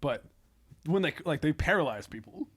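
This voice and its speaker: A man hosting a casual comedic podcast chat, thinking aloud in an animated, halting way. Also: fairly high pitch, breathy edge. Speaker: podcast host.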